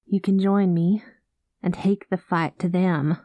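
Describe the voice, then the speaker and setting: Woman doing a rogue space cowgirl pilot, mellow voice, dry and close, drawls, whispers now and then. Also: fairly low pitch, fairly even intonation, a little slow, no room sound. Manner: soft-spoken, slips into whispers; cowboy slang and drawl. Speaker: ASMR roleplay performer. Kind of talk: ASMR roleplay, spoken to the listener.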